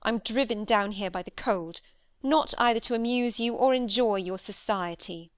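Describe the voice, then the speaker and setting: A woman's voice: medium pitch, normal speed, clear, resonant tone, dry recording, studio quality, lightly acted. A woman reading an audiobook, voicing a proud, scornful young lady with a cold, disdainful delivery. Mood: bitter.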